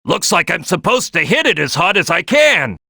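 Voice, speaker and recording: A man's voice: high-pitched, big pitch swings, normal speed, vocal fry, pushed and bright, no room sound, clean studio sound, full character voice. A man voicing a big brash cartoon crocodile team leader in a video game, giving tutorial tips with emphatic, punchy delivery. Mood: instructive, upbeat. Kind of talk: animated game tutorial voice lines.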